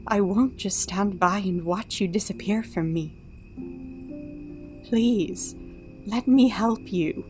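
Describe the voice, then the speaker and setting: A woman playing a solemn, devoted pony princess in a radio drama, with a formal, measured, earnest delivery. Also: medium pitch, darkish tone, faint music behind, natural voice. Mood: pleading, hurt. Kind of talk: dramatic radio play acting.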